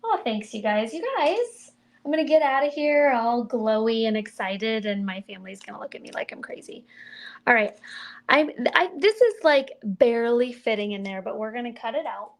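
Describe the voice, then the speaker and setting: A middle-aged woman casually chatting through a crafting livestream, mild and soft-spoken as she thinks aloud while she works. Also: on the high side, expressive, moderate pace, vocal fry. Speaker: card-making demonstrator.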